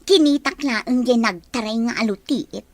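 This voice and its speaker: A woman's voice: a little high, moderate pace, natural voice. A woman acting a coy, lovestruck, wistful office secretary in an Ilocano audio drama.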